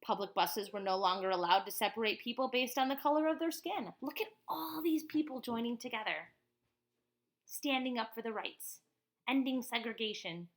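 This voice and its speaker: A woman voicing a calm, resolute woman activist who tells her life story in a picture book, earnest and emphatic. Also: on the high side, normal speed, natural voice. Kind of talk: first-person picture-book narration.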